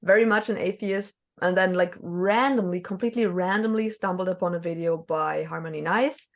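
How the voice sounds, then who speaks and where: A woman's voice: on the low side, moderate pace. A woman from Germany with a German accent, talking as a podcast guest, hesitant with many fillers and small laughs. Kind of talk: casual conversation.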